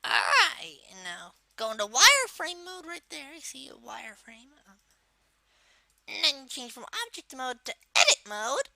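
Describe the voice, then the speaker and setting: A performer voicing a small turtle-like video game villain kid, giving a casual step-by-step software tutorial in a halting, hesitant way. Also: high voice, huge pitch swings, vocal fry, no room sound, exaggerated voice. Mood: hesitant, matter-of-fact. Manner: halting, hesitant, trailing off in asides. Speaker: tutorial presenter.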